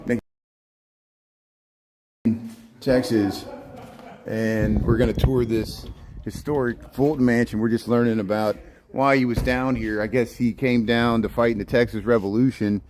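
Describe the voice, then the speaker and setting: A man filming a walking tour outdoors on the grounds of a historic house, narrating loudly into a handheld phone mic and often repeating words. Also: medium pitch, fairly even intonation, unhurried, long pauses, noisy background. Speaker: vlogger touring a historic site.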